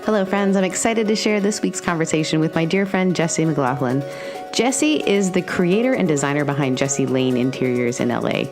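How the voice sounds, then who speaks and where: Low-voiced chatty woman podcast host. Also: fairly quick, music in the background. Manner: chatty, laughing, many fillers and restarts. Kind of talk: casual chat.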